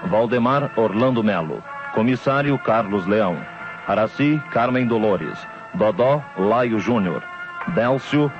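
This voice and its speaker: Low-voiced Brazilian male radio announcer. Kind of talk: formal cast credit announcement. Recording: dull old radio recording.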